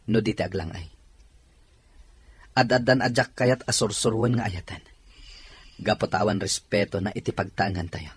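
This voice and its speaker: Man acting unhappy young son, low voice, very husky, breathy, weary complaining, drama. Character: unhappy, resentful married son opening up to father.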